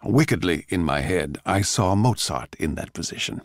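A man playing a bitter, envious male court composer, narrating in a measured, theatrical, sardonic way for radio drama. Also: sits low, lively intonation, no echo, studio quality. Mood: brooding, sardonic.

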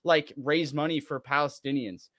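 A man's voice: on the high side, fairly even intonation, moderate pace, fairly bright tone. A man co-hosting a talk show on a video call, reading an article aloud and commenting with sarcastic asides.

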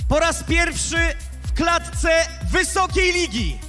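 A man working as a live ring announcer in an arena, projecting theatrically with drawn-out words to hype a fight. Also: very high-pitched, animated, pushed and bright, faint music behind. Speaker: MMA event ring announcer. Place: fight cage in a large indoor arena. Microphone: handheld microphone.

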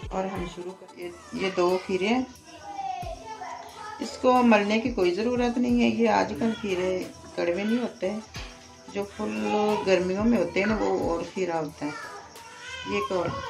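A woman's voice: medium pitch, fairly even intonation, a little slow, gravelly, over music. A middle-aged woman with a Pakistani Urdu accent, chatting homely through a cooking demonstration in her kitchen. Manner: homely, chatty, repeats blessings. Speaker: home cooking channel host. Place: home kitchen, indoors.

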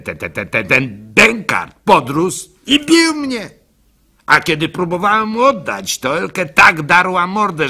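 Gullible, lovestruck baker in radio drama: gravelly, very bright and forceful, hesitant confessional storytelling. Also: male voice, medium pitch, exaggerated voice.

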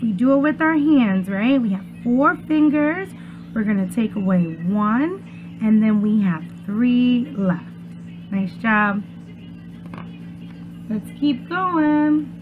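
Young female preschool teacher for children's read-aloud; sing-song, slow delivery, thin tinny audio with heavy noise. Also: fairly high pitch, lively intonation. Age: young adult. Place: small room at home. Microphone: webcam or laptop at arm's length. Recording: muffled phone-like webcam sound, loud noise.

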